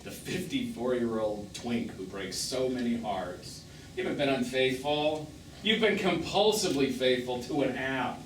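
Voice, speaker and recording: A man's voice: medium pitch, measured pace, natural voice. Echoey, distant actor as wounded middle-aged man. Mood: reproachful. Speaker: stage actor. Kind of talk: live stage drama acting. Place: small theatre, recorded from a distance. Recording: echoey, noisy stage performance recorded from afar.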